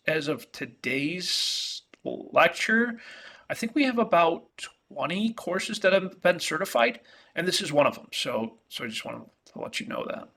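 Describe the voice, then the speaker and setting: A man from New York with a New York accent, whose first language is Italian, giving a halting virtual-class lecture as an economics professor, full of fillers and restarts. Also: mid-range voice, pushed and bright. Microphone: video call.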